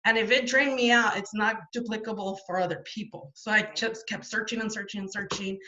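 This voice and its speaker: A woman's voice: medium pitch, fairly even intonation, brisk, sharp tone, dull audio. A woman guest telling her story in a chatty, rambling way, full of restarts and fillers, heard over a video call. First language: not French. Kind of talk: casual interview storytelling.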